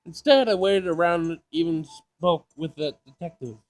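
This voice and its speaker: A man's voice: on the high side, moderate pace, a little mellow. A man doing a casual let's play, reading game text aloud and reacting in an informal, sassy way. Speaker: gaming video player.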